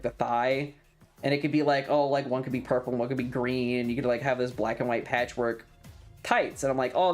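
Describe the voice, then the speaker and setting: A man chatting casually as a streamer, thinking aloud in an informal, sweary way. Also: mid-range voice. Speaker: streamer and video creator. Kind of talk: casual rambling monologue.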